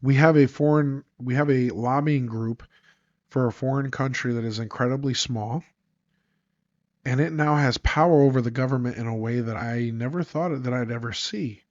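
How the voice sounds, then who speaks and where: A man's voice: medium pitch, normal speed, darkish tone, dry recording. A congested man hosting a solo podcast, talking in an emphatic, sarcastic monologue full of rhetorical questions. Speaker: podcast host. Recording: dry, dull lo-fi sound.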